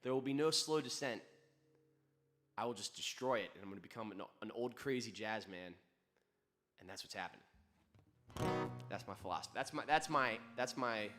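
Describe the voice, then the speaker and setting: A man who is a singer-songwriter, chatting casually and rambling between songs on a livestream, laid-back and profane with sudden quick bursts. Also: fairly low pitch, animated, fairly bright tone, faint music behind.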